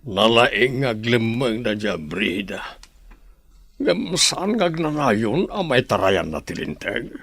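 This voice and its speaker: A resentful, weary man with a Filipino accent in a radio drama. His gravelly voice sits at middle pitch and grumbles along, recorded dry and close. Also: very animated, takes it slowly, a bit bright, no room sound, heavily acted.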